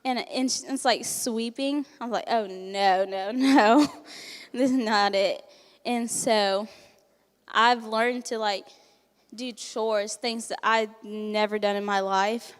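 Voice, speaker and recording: A woman's voice: a little high, fairly even intonation, takes it slowly, smooth, long reverb tail. A teenage girl, not a native English speaker, giving a candid personal speech at a banquet, laughing often and acting out what people said. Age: teens. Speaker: resident giving a testimonial.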